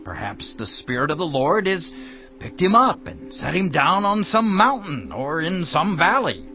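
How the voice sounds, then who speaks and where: An actor playing a respectful, pleading follower of a prophet in a dramatised audio scripture, with a deliberate, deferential manner. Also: male voice, a little high, very animated. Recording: dull lo-fi sound, music underneath.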